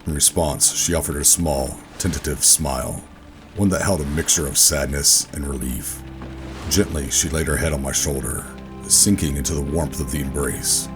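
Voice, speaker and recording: A man playing a weary, guarded government operative, telling a sci-fi horror audio drama in a brooding, deliberate way. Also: extremely low pitch, fairly even intonation. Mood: somber, reflective. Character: weary, guarded male government operative narrating his own ordeal. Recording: music under the voice. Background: music.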